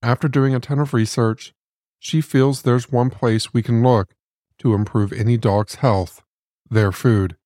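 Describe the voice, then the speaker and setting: A man's voice: medium pitch, fairly even intonation, measured pace, dark tone, dry recording. A man reading scripted podcast narration as the host, close to the microphone. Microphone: close studio microphone. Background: music.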